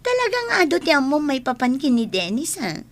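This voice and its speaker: An actress playing a chatty, teasing young-sounding friend in a radio drama, with an Ilocano accent. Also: female voice, sits high, wildly swooping pitch, normal speed, exaggerated voice. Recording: noticeable background noise.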